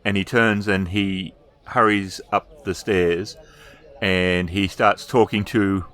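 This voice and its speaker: A man narrating a tabletop role-playing game as storyteller, improvising descriptions with hesitant pauses. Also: sits low, steady tone, fairly bright tone.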